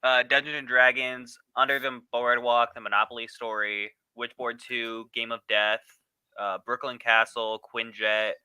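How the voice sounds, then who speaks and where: A man co-hosting a podcast in casual chat, speaking in a halting way with sentences that trail off. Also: medium pitch, little pitch movement, sharp tone, clean tone, no echo, low-quality recording. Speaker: podcast co-host.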